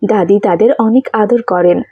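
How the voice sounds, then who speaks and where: A woman narrating a scripted children's story, with a husky, mellow voice. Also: medium pitch, normal speed, dry recording, phone-quality sound.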